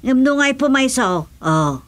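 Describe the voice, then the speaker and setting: A woman's voice: high voice, expressive, unhurried. An actress playing a frank, insistent village woman with an Ilocano accent in a comedy radio drama.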